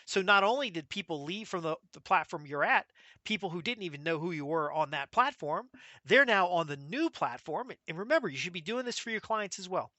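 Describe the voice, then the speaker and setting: American guy doing a solo podcast, kinda high voice, punchy, keeps listing stuff. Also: animated, pushed and bright, dry recording. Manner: brisk, emphatic, lists things off. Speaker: podcast host. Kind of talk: solo business podcast talk.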